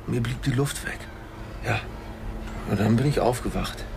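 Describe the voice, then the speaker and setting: An actor playing an ordinary angler giving a rambling, hesitant, colloquial witness account in a radio crime drama. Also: male voice, medium pitch, normal speed, lightly acted. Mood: recollecting, uneasy. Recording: clearly noisy ambient background.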